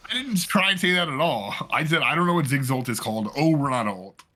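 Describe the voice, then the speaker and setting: Husky joking esports caster dude, voice bounces all over. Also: male voice, mid-range voice, quick pace, soft background music. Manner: joking, excitable, laughs often. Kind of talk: live game commentary.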